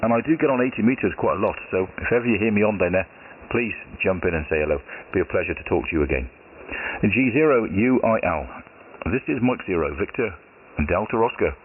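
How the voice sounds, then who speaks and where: A British man with a very deep voice, chatting casually over amateur radio, relaxed with many pauses and ums. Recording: tinny shortwave radio signal with hiss.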